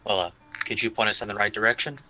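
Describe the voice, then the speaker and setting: A man's voice: lowish voice, fairly even intonation, fast, bright, forceful tone, faint music behind, poor audio. A man chatting and roleplaying casually as a player in a tabletop game.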